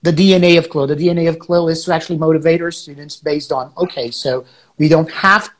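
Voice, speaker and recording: A man from Brazil, a native Portuguese speaker, co-hosting a teaching podcast and explaining ideas with many pauses and fillers. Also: on the high side, fairly even intonation. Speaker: podcast co-host, English teacher. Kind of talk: casual educational chat.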